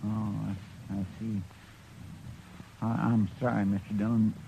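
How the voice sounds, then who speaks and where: A man's voice: fairly low pitch, flat delivery, measured pace, dark tone, slight background noise, poor audio. An actor playing a loyal, injured frontier deputy who stammers respectfully to his boss, on an old radio transcription. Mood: humble. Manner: stammering, deferential. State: weak, hurt.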